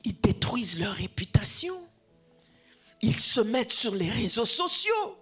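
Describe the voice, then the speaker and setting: A woman whose first language is Swahili, preaching a sermon, dropping to hushed whispers and then rising to shouts, repeating phrases for emphasis. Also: on the high side, big pitch swings, unhurried, slightly rough, faint music behind. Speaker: preacher, addressed as apostle.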